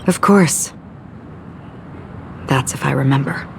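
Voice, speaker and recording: An actress voicing a calm, forgetful young swordswoman in a game, speaking in a measured, solemn way over game music. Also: noisy background, exaggerated voice. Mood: quiet, wistful. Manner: measured, low, solemn delivery. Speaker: wandering swordswoman. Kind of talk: game voice acting. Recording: game audio with clear music behind.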